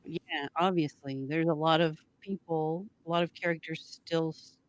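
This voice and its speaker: A woman's voice: low-pitched, steady tone, moderate pace, dry recording, low-quality recording. A middle-aged woman co-hosting a casual fan chat in a folksy way. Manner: folksy, says y'all. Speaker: live stream co-host.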